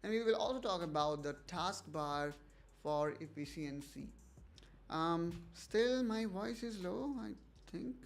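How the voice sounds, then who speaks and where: A man's voice: high-pitched, expressive, a little slow, gravelly. A man hosting a casual tech livestream, talking hesitantly with many fillers and restarts while checking in with his viewers. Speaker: livestream host.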